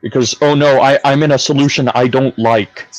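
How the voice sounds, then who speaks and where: A man reasoning his way through a point in a measured, slightly stumbling manner during a casual call discussion. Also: medium pitch, steady tone. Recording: dry, dull, lo-fi call audio.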